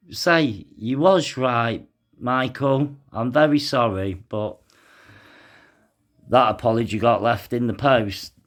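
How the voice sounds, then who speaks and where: A young man commenting on a news article as he reads it aloud, halting often with fillers and sighs. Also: mid-range voice, fairly bright tone. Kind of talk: casual commentary mixed with reading aloud.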